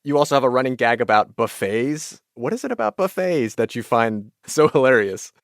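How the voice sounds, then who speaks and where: A man's voice: mid-range voice, lively intonation, normal speed, no echo, clean studio sound. A man hosting a radio podcast, mixing scripted narration with conversational interview questions.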